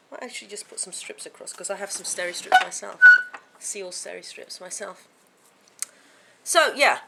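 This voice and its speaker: A woman vlogging to camera from home, with a croaky voice from a sore throat, chatting in a rambling way with many fillers and restarts. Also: mid-range voice, a bit bright. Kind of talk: casual chat to camera.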